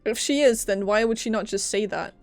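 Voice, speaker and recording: A woman's voice: mid-range voice, fairly quick. A woman streaming a video game, giving casual reactions and teasing asides to the characters. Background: game music.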